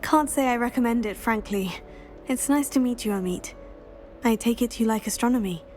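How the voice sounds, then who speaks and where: A woman playing a polite, curious teenage schoolgirl in a video game, speaking in a measured way. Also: a little high, a little mellow, soft background music, close to an everyday voice. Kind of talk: video game dialogue acting.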